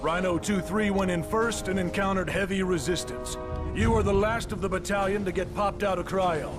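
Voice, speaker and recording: A gruff marine captain played by a man gives orders with blunt, commanding authority in a video game. The voice sits a little high for a man, and music plays clearly underneath. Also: steady tone, normal speed, close to an everyday voice. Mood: gruff, businesslike. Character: gruff, foul-mouthed male marine captain commanding troops. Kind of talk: military briefing and rallying speech in video game.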